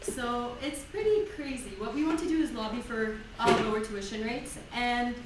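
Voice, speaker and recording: A woman's voice: on the high side, moderate pace, background noise. A young woman from Egypt, a student election candidate, answering in a chatty way with tag questions. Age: young adult. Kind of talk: debate answer. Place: university lecture hall. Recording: echoey lecture hall, distant mic.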